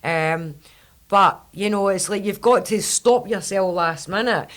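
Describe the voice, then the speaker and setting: A woman in her thirties with a Scottish accent and a low husky voice, hosting a podcast and telling animated stories while swearing freely. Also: a little slow. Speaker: podcast host. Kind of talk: casual chat.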